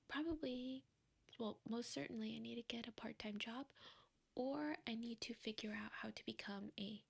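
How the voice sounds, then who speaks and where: A young woman whispering softly in a slow, rambling way, chatting ASMR-style at home. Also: fairly high pitch, gravelly, breathy edge. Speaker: vlogger. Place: quiet home, indoors.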